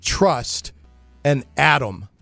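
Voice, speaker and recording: A man's voice: medium pitch, animated, very slow, vocal fry, pushed and bright, dry recording, faint music behind. A man hosting a casual live radio interview in a chatty, enthusiastic way. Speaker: radio show host.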